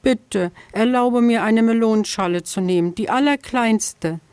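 A woman's voice: fairly high pitch, a little slow, darkish tone, clear, resonant tone, no echo, voice pushed up, lightly acted. A woman voicing a small, frightened hare in a children's radio story, speaking in a timid, pleading way.

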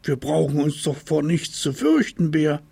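Guy doing squeaky little tiger kid, all excited, pitch jumping around. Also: unhurried, vocal fry, slightly rough, no room sound, exaggerated voice. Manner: high and excited. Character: small eager boy tiger, excitable. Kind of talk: children's radio story.

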